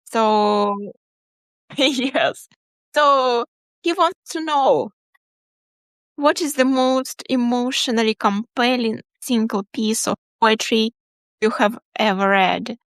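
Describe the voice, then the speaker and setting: A woman interviewer with a somewhat high voice asks questions warmly and eagerly, doubling up words as she encourages her guest. The sound is dry and close, with no echo. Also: slow pace. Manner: friendly, encouraging, repeats words. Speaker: podcast interviewer. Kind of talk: casual interview.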